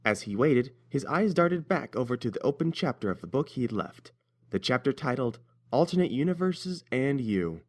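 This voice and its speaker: A man's voice: mid-range voice, sing-song, normal speed. A man narrating a scripted story as its reader.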